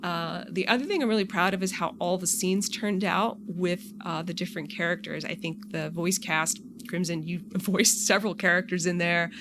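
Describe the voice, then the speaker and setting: Low-pitched woman over noisy background. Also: moderate pace, few pauses. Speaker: game mod developer. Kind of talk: casual interview answers.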